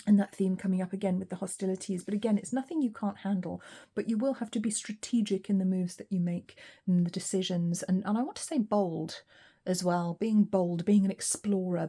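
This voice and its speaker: A woman reading tarot cards in a relaxed solo session, her voice a little weak and hoarse, musing aloud with frequent ums. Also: lowish voice, moderate pace. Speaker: tarot reader.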